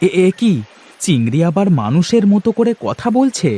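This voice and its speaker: Man acting a poor fisherman, highly animated. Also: normal speed, breathy edge, full character voice. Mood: amazed. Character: poor, weary, devout fisherman and tender father. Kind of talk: cartoon fairy-tale voice acting. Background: music.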